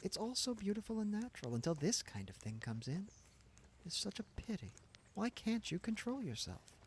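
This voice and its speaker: A man's voice: high voice, very animated, normal speed, slight background noise, pitched above the performer's own voice. A man voicing an earnest, idealistic young woman who speaks in a lofty, lightly reproving way, read as an audiobook character.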